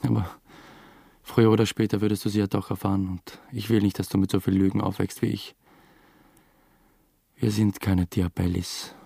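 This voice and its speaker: A low, creaky young storyteller. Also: male voice, level tone, normal speed, long pauses, warm tone, dry recording, clean studio sound. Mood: tender, reflective. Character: young theatre photographer, new father, earnest storyteller. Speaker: narrator and lead character. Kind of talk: first-person radio drama narration.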